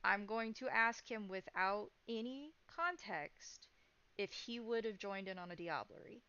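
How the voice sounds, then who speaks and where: A woman's voice: medium pitch, a little slow, sharp tone, smooth, muffled recording. A woman narrating and making rulings as game master of a tabletop vampire game, in a conversational, descriptive and decisive way.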